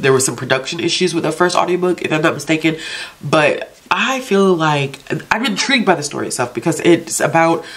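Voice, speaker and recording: A woman with a congested, stuffed-up voice, hosting a casual book vlog and chatting in a self-chiding way about books she means to read. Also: low voice. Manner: chatty, self-chiding, talks herself into reading. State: congested from allergies. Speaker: book vlogger, channel host.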